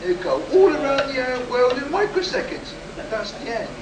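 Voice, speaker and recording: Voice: sits high, very animated, moderate pace. Somebody playing a desperate pilot guy in a radio play, urgent and overwrought, echoey, far from the mic with noise. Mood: dazed, grave. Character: desperate test pilot man warning of doom. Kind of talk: live radio play acting. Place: convention hall stage, live performance. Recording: roomy distant live stage recording, noisy.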